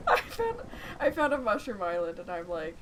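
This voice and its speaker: Slow-talking, chatty gamer over rain noise. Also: mid-range voice, low-quality recording. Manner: rambling, chatty self-talk with quick asides to a call. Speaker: gamer playing on a server. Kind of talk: casual gameplay chat.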